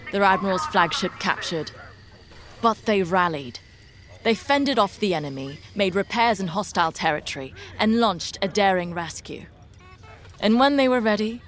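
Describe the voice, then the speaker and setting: A woman's voice: medium pitch, lightly acted. An actress playing a loyal, earnest woman fighter pilot in a video game, confiding and assured. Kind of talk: scripted video game dialogue.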